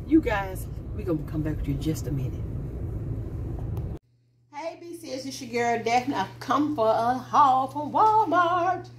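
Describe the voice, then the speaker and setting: A woman's voice: a little high, big pitch swings, a little slow. A middle-aged woman chatting casually to her viewers as she drives and then shows her groceries, rambling from one thing to the next. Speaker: vlogger. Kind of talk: casual vlog chat. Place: in a car, then at a kitchen table. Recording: noisy car recording.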